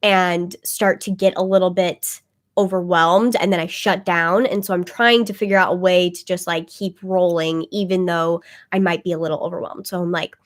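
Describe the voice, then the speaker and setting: A woman's voice: fairly low pitch, fairly even intonation, normal speed. A woman chatting casually on a webcam livestream, rambling with lots of "like" fillers and a slightly phlegmy voice. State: a bit phlegmy, congested. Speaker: tarot reader livestreaming to viewers.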